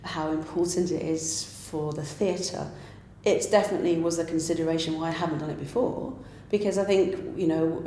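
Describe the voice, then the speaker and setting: A woman's voice: low voice, fairly even intonation, normal speed, mellow. A woman giving thoughtful interview answers, often restarting and repeating words. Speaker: pantomime writer and director. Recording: echoey room with faint noise.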